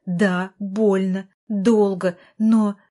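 A woman's voice: medium pitch, flat delivery, extremely slow, dark tone. Audiobook role: reproachful, desperate adult sister, emphatic and raised, voiced by a female reader. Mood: pleading, urgent. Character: exasperated, pleading adult sister fighting for her brother.

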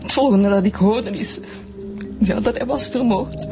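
An actress playing a neglected, hesitant wife confessing an affair in a radio drama, with a Flemish accent. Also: female voice, medium pitch, unhurried, music in the background, natural voice. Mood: hesitant confession. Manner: hesitant, defensive.

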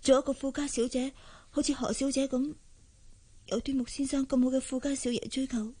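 An actress playing a humble young housemaid in a radio drama, timid and plaintive, with a Hong Kong accent. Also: female voice, sits high, little pitch movement, brisk, mellow, husky. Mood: sorrowful musing. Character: meek, humble young housemaid from a poor family. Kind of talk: Cantonese radio drama acting.

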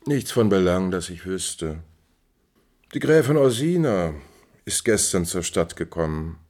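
A low male voice as a scheming courtier in a 1950s radio drama. He speaks with ironic deference, his lines insinuating and very lively in pitch. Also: a little slow, full character voice. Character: smooth-tongued, scheming male courtier serving a prince. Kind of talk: 1950s German radio play acting.

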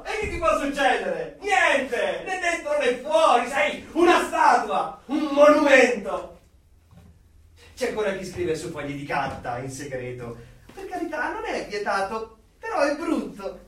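A man's voice: extremely high pitch, fairly bright tone, far from the mic, full character voice. A man acting a fervent, gleefully conformist citizen of a dystopia in a theatrical radio drama, declamatory and mocking. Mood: sly, menacing. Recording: echoey room, away from the mic.

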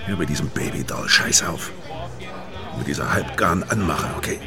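An actor playing a middle-aged, gruff, world-weary debt collector who is dying, terse and guarded, in a noir crime radio drama. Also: male voice, sits low, animated, normal speed. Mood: cold, guarded. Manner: terse, hard-boiled, guarded. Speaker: narrator and lead actor. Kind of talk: noir crime radio drama, first-person narration and dialogue. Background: music behind the voice.

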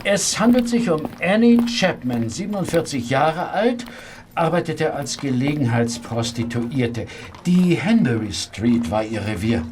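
An actor playing a dutiful, blunt Victorian street constable in a radio play, reporting formally. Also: male voice, a little high, lively intonation, unhurried, a little mellow, slight background noise. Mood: dutiful, shaken. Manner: formal reporting, deferential to superiors. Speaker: actor in an audio drama. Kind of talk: German radio play acting.

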